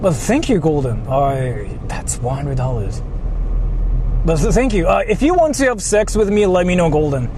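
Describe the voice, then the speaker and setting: A man with a fairly high voice chats casually to his stream while driving. His delivery is very lively and swings up and down as he rambles and repeats himself. Loud car noise runs behind him. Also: moderate pace. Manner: rambling, repetitive. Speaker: livestreamer. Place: inside a moving car. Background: vehicle driving noise.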